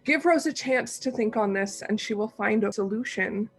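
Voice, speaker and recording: An actress playing a young sorority woman in an audio drama, loving but insistent. She speaks in a slightly high voice with firm, intimate delivery. Faint music sits underneath. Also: moderate pace, breathy edge. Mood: reassuring. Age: young adult. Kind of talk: dramatic reading, naturalistic acting.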